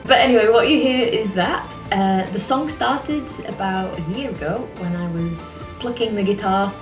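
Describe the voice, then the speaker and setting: A woman's voice: medium pitch, normal speed. A singer-songwriter woman talking casually and rambling a little about her music from her small home studio. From England. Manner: chatty, relaxed, rambling. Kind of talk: casual talk to camera. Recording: roomy, a little distant from the mic, music behind.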